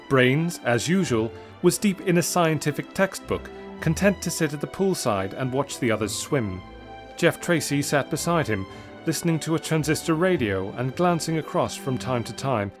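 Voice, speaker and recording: A man reading an adventure story as dramatic, scripted narration, measured and suspenseful. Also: a little high. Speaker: story reader.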